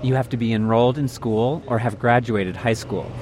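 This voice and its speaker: A man hosting a public radio program, reading scripted reports and interviewing guests in a measured way. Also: mid-range voice, a crowd in the background. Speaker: radio host. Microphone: studio microphone.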